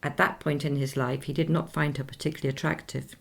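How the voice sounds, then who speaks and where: An actress playing a dignified nineteenth-century Swedish opera singer in a radio play, speaking in a measured, formal, reflective way. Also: female voice, low-pitched, level tone, creaky.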